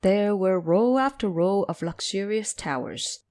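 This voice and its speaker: A woman narrator giving scripted storytelling with explanations, expressive and measured in delivery. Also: medium pitch.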